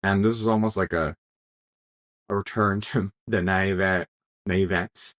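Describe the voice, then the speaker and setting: A man whose first language is Polish, co-hosting a casual podcast chat in an animated, joking way with lots of fillers. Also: deep voice, measured pace. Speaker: podcast co-host.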